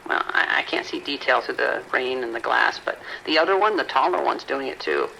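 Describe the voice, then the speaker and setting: A man's voice: medium pitch, lively intonation, brisk, gravelly, sharp tone, a little hiss or hum, full character voice, poor audio. A man playing an uneasy, bewildered patrol deputy in an audio drama, giving terse radio reports. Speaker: police deputy. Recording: radio calls with rain behind.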